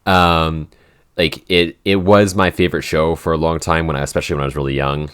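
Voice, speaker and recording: Very low-pitched man, halting casual podcast co-host, filler-heavy. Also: flat delivery, a bit bright, clean tone. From Pacific Northwest, USA. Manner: halting, many "like" fillers, laughs between phrases. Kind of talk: casual chat.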